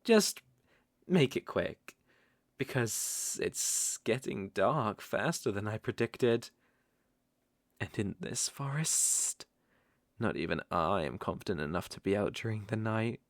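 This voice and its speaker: A man voicing a coaxing, possessive naga monster in a roleplay ASMR audio drama, with a creaky, teasing delivery. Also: fairly low pitch, lively intonation, dry recording, clean studio sound, exaggerated voice. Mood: coaxing, ominous. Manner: slow, coaxing, teasing with soft chuckles. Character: smooth-talking male naga monster, coaxing, possessive predator.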